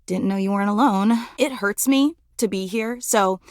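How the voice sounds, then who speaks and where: A woman's voice: mid-range voice, normal speed, no room sound, studio quality, lightly acted. A woman voicing a nervous, starstruck female electric fan in a video game, gushing and rambling in a flustered, dramatic way. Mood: hurt, sulky. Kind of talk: animated video game character voice acting.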